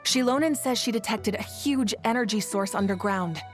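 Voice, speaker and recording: A woman's voice: medium pitch, normal speed, soft background music, close to an everyday voice. A woman playing a composed, authoritative leader in a video game, speaking with poise and decision. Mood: cautious.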